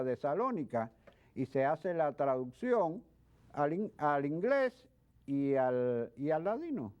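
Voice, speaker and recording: A man speaking as a guest expert in an interview, explaining things in a halting way with repeated words. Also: on the high side, a little mellow, clean tone. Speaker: guest expert, history professor. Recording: dull lo-fi sound.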